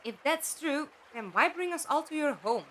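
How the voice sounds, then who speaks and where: An actress in a fan audio drama playing a wry, sceptical, questioning companion to a time traveller. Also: female voice, sits high, animated, moderate pace, a bit bright, slight background noise. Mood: suspicious.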